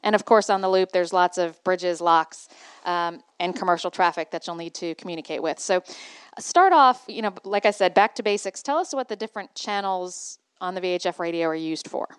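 A woman's voice: fairly low pitch, fairly quick, smooth, no room sound. A woman hosting a podcast in a conversational style, introducing and steering an interview. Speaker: podcast host.

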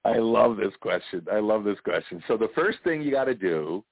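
A man's voice: fairly low pitch, animated, moderate pace, breathy edge, no room sound. A Canadian man, a guest on an interview, telling stories and joking in casual conversation over a call. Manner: chatty storyteller, jokes and laughs often. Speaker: interview guest, franchise consultant. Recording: tinny phone line.

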